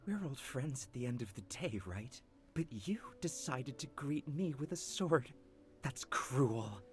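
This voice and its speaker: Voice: medium pitch, lively intonation, unhurried, faint music behind, lightly acted. An actor playing a bitter, vengeful man, a sneering illusion of a former friend, in a theatrical game-story style. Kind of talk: dramatic character acting in narrated game story.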